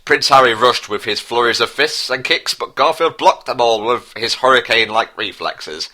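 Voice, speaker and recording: A man's voice: medium pitch, moderate pace, sharp tone. A man narrating as co-host in a pompous, overblown mock-dramatic style, reading from a script.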